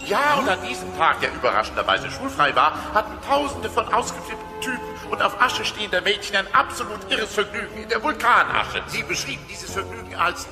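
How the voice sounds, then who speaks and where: A man voicing an excitable, mock-serious broadcast announcer in a cartoon dub, with an echoing sound. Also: sits high, brisk, few pauses. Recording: echoing hall reverb, music behind.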